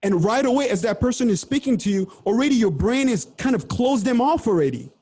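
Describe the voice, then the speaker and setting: A man's voice: extremely high pitch, animated, brisk, darkish tone, husky. A man from the Democratic Republic of Congo giving a conversational seminar presentation over a video call, earnest and explanatory. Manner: earnest, explanatory, repeats himself.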